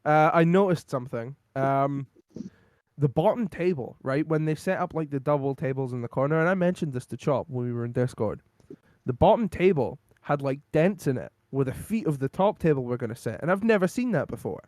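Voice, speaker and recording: A man co-hosting a podcast and chatting casually, rambling with lots of ums, his voice going hoarse. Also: on the high side, expressive, a little fast, darkish tone, no echo. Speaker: podcast co-host. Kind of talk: casual wrestling recap chat.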